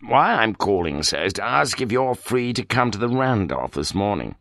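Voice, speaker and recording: A man's voice: on the low side, sing-song, moderate pace, fairly bright tone, no echo. A man voicing a dryly ironic, irritable middle-aged chief inspector in an audiobook. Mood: curt, dry.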